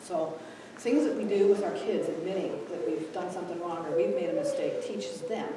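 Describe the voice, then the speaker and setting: A woman giving a live conference talk, animated and acting out quoted dialogue, who grew up in Ethiopia. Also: lowish voice, normal speed, background noise. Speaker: conference speaker. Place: large echoey hall. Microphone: distant room mic. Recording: echoey hall from far off.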